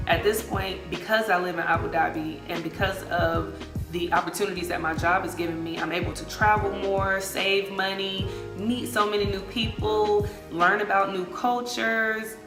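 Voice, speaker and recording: An American woman in her late twenties chatting casually and expressively to viewers as a travel vlogger, in colloquial language. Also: on the low side. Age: late 20s. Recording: echoey room, music underneath.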